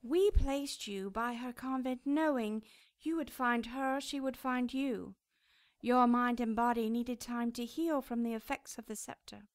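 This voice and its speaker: A woman's voice: on the high side, fairly even intonation, no room sound, close to an everyday voice. A woman playing a cherub in a radio drama, a powerful angel who speaks in a formal, stately, haughty way. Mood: cold, detached. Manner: formal, stately, measured phrasing.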